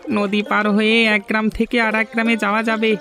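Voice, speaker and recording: A man's voice: sits high, completely flat delivery, brisk, pushed and bright, faint music behind. A man playing a timid, earnest young villager in a fairy-tale cartoon, with an anxious, questioning manner. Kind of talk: dramatic dubbed cartoon dialogue.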